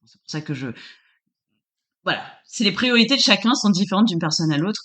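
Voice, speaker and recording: A woman's voice: mid-range voice, expressive, fairly quick, a bit bright. A woman working as a doula, answering interview questions casually with frequent restarts as she searches for words.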